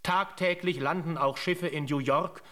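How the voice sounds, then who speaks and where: A man's voice: fairly high pitch, fairly even intonation, unhurried, a bit bright. Sober radio drama narrator, male. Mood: grave, urgent. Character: sober chronicler narrating a gold rush history.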